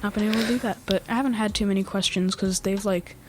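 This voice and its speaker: A man's voice: high voice, fairly even intonation. Teenage boy with a scratchy morning voice. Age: teens. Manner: halting, many fillers and throat-clearing. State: scratchy, just-woken voice. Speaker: podcast co-host. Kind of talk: casual podcast chat. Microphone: home podcast microphone with mixer.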